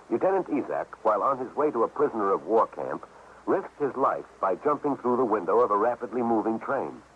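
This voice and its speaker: A man reading scripted narration as a formal, earnest mid-century radio announcer with measured phrasing. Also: fairly low pitch. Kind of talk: solemn scripted wartime heroism narration, 1950s radio. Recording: dull old radio recording, faint noise.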